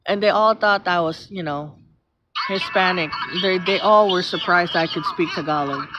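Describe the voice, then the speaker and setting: A woman's voice: on the low side, normal speed, background noise. A middle-aged woman from the Philippines with a Filipino accent, chatting casually on a live stream, rambling through stories and thanking viewers by name. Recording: slightly muffled stream audio with music. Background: background music.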